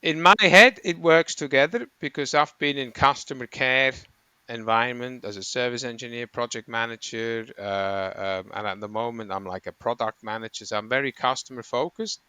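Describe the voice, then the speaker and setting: A middle-aged man from Switzerland talks through his work as a running coach in a relaxed interview. His voice is bright and sharply clear, recorded dry and close. He wanders and corrects himself mid-sentence as he explains. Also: mid-range voice, normal speed, no room sound. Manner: rambling, self-correcting explanations with frequent ums. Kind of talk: conversational interview.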